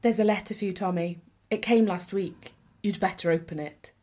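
A woman's voice: fairly low pitch, steady tone, normal speed, dark tone, close to an everyday voice. A woman playing a worried, protective woman of a wartime working family in a radio play, plain and anxious. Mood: subdued.

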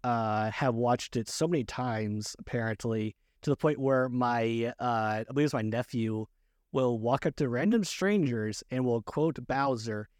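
A man's voice: medium pitch, a little slow, darkish tone, no echo, clean studio sound. A man co-hosting a casual chat about films, often chuckling as he talks. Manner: chuckles often while talking. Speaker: podcast co-host.

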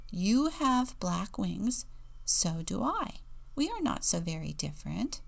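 Small female penguin chick, played by a woman, shy yet determined, animated pitch, storybook reading. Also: takes it slowly, gravelly, exaggerated voice. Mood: earnest, pleading. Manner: earnest, pleading, often whispering.

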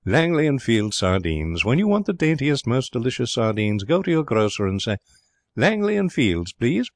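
A man's voice: mid-range voice, big pitch swings, no echo, clean studio sound, voice pushed up. Man playing a big, earnest young suitor. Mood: earnest, eloquent. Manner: halting at first, eloquent reading aloud.

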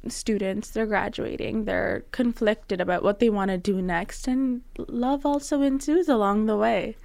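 A young woman co-hosting a casual podcast, animated and quick to laugh, with lots of "like" fillers. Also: medium pitch, gravelly, no room sound. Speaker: podcast co-host. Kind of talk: casual chat.

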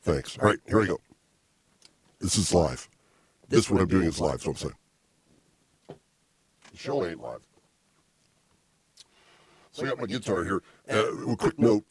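Low, husky man teasing as host. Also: no room sound. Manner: teasing, rambling, deadpan insults. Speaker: podcast host. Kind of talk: casual comedic chat. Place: home music studio. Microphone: small desk mic stand.

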